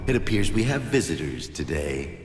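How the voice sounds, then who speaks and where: An actor playing a deranged, theatrical cult zealot in a video game, mocking and playful, lurching between murmurs and shrieks. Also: male voice, extremely low pitch, big pitch swings, moderate pace. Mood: mocking reverence. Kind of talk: video game cutscene acting. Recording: echoey hall with long reverb. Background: music.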